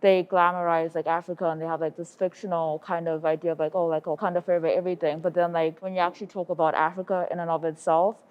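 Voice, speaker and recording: A student woman from Zimbabwe talks in a casual panel discussion, her voice a little low. She rambles and leans on filler words, and the recording sounds dull and lo-fi. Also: level tone, quick pace, few pauses.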